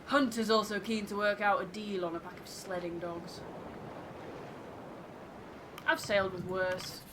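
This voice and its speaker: A woman's voice: medium pitch, level tone, background noise, lightly acted. Wary, reflective first mate read by a woman. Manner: measured, reflective, literary. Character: thoughtful, wary first mate narrating a ship's log.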